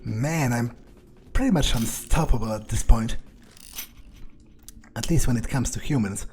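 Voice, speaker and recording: A man's voice: medium pitch, a little slow, a little mellow, slight background noise, natural voice. A man role-playing a cocky, ruthless vampire who muses unhurriedly and swears as he thinks aloud through a video game. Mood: smug. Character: cocky, ruthless male vampire, amused by violence. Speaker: gamer role-playing a character. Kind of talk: in-character gameplay commentary, thinking aloud. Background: game music and gunfire.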